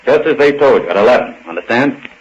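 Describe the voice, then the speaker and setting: An actor in an old-time radio drama playing a businesslike police chief, giving brisk instructions on a muffled recording. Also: male voice, medium pitch, animated, breathy edge. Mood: commanding. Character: businesslike male police chief planning a warehouse raid.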